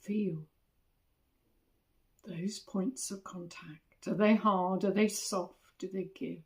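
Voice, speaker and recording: Woman, meditation teacher, very unhurried, creaky voice, soothing, short phrases with long pauses. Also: medium pitch, steady tone, a little mellow. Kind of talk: guided body scan meditation.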